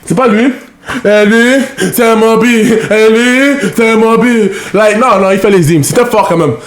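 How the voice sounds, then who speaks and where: A man from Canada whose first language is English, reacting to videos in casual French. He talks in a halting way, repeating words and dropping in English fillers. His voice sits high and bounces around an echoey room. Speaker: reaction streamer.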